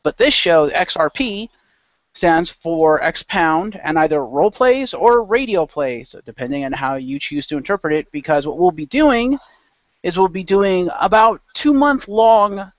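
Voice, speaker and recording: A man's voice: high-pitched, lively intonation, a little slow, dry recording. A man hosting and narrating a role-play show as game master in a loose, informal way. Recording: dull lo-fi close microphone.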